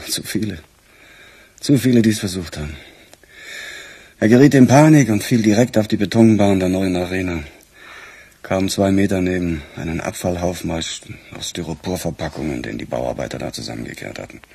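An actor playing a weary, grumbling police chief with a husky voice in a radio crime drama. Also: male voice, sits low, fairly quick, warm tone, full character voice. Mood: weary, subdued.

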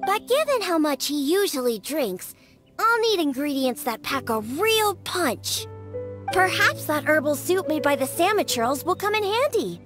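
A feisty young cat girl from a video game, pert and scornful. Her voice is high and childlike with very lively swings in pitch, over light background music. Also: a little slow. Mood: scornful, grumbling. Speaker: game character voice actor. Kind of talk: video game character dialogue.

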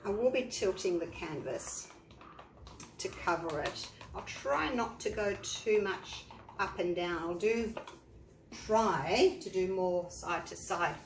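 An Australian woman, an art teacher, chatting step by step through a painting tutorial with a croaky voice from the flu. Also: mid-range voice, slow. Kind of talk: tutorial narration. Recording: echoey room, faint noise.

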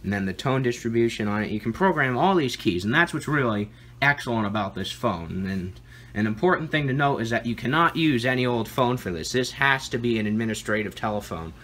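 A man's voice: medium pitch, expressive, brisk, fairly bright tone, slight background noise. A man giving an informal, matter-of-fact demonstration of equipment as a video host, recorded indoors at home.

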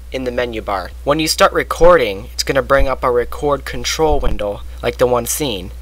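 A man narrating a software tutorial step by step, recorded close on a computer microphone. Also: medium pitch, rough recording. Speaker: tutorial presenter. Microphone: close computer microphone, voice-over.

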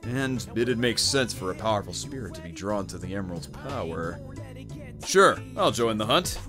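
A man's voice: on the low side, sing-song, normal speed, music in the background. A man voicing an earnest, exasperated young echidna treasure hunter in a cartoon fan dub, blunt and emphatic. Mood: thoughtful.

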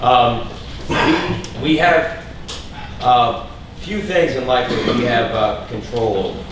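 A middle-aged man speaks as a coach giving a motivational talk in a halting, deliberate way. The room is very echoey and he sounds distant from the microphone, with clear noise around him. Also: mid-range voice, a bit bright. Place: indoor team meeting room, in front of players.

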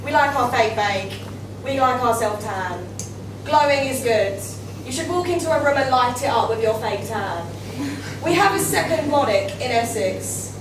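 A woman's voice: a little high, expressive, normal speed. A young woman from England presenting on a school stage with a handheld mic, playful and dry. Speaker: student presenter. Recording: echoey hall, far camera, noisy.

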